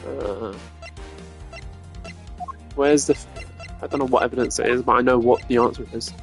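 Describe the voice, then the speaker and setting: A man's voice: mid-range voice, fairly even intonation, moderate pace, vocal fry, faint music behind. A man chatting casually on a live stream as he reads out a game's characters.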